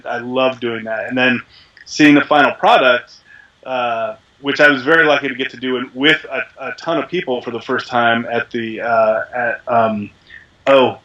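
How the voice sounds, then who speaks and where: A man who is a voice actor, talking casually in an interview with a deep, resonant voice while a little sick, rambling with many fillers. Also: bright, forceful tone, highs cut off. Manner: chatty, rambling, self-deprecating with frequent fillers. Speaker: voice actor guest.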